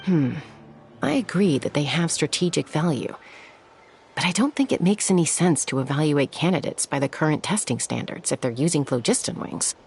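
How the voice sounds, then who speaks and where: A composed young warrior with a low voice. She speaks in a measured, serious, matter-of-fact way as a video game character, over faint music. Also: expressive, vocal fry, darkish tone. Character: Chaska, cool, serious young woman warrior.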